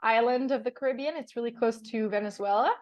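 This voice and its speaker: A woman's voice: on the high side, fast talker, darkish tone, dry recording, lo-fi sound. A young woman whose first language is French, chatting in a casual interview over a video call, often laughing and restarting words. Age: young adult. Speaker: guest. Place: on a sailboat.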